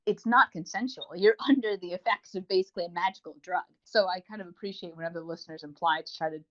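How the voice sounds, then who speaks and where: A woman's voice: fairly low pitch, fast talker, a bit bright, slight background noise. A woman co-hosting a podcast, giving a rambling, enthusiastic review in a casual, chatty style. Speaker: podcast co-host. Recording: dull lo-fi voice recording.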